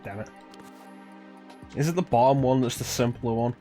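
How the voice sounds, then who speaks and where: A man streams a video game and chats casually as he plays. His voice sits at an ordinary male pitch, with game music running softly behind him. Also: normal speed. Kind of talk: casual gaming stream commentary.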